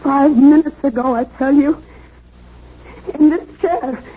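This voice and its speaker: Very high-voiced hysterical young wife. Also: female voice, steady tone, takes it slowly. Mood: stunned, insistent. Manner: breathless, pleading, insistent. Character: hysterical, frightened young wife insisting husband was murdered. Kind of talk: old-time radio mystery acting. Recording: dull, noisy 1970s radio drama recording.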